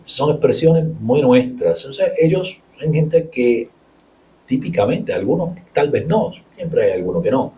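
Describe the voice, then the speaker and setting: A man with a Puerto Rican accent, a friar answering interview questions in a measured way, with pauses and restarts. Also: medium pitch, darkish tone, far from the mic, rough recording. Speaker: Capuchin friar and guest.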